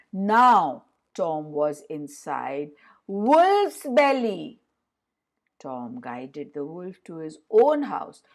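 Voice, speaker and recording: A woman storyteller narrating a fairy tale for children in an expressive way, addressing her listeners directly. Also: on the low side.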